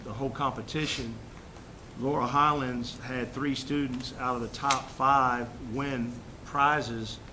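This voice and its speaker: A man with a head cold giving a formal superintendent's report at a school board meeting, halting with fillers and restarts. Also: fairly even intonation. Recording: noisy room recording with rumble.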